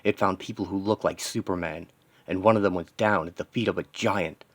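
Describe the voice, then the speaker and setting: Vengeful superhero, played by a male narrator: low, grieving, determined, terse monologue in a comic-book story. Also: fairly quick. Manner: terse, dramatic inner monologue in short fragments.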